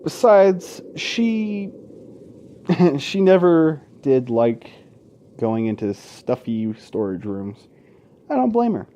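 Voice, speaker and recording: A man's voice: a little high, big pitch swings, slow pace, pauses often, dark tone. A man hosting a let's play in an informal, sweary way, mixing casual gaming commentary and asides with game text read aloud.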